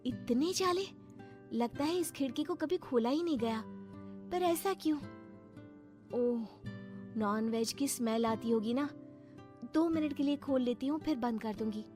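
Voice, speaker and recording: A woman voicing an anxious, obedient young bride in an animated horror story, fretful and quick to exclaim. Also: high-pitched, soft background music, pitched above the performer's own voice. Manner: fretful, breathless startled exclamations.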